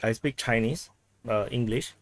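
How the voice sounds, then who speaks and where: A man in casual group chat on a low-quality backup recording, talking haltingly with many restarts while he explains things through comparisons. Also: a little hiss or hum. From Southeast Asia. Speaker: chat participant. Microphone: video call.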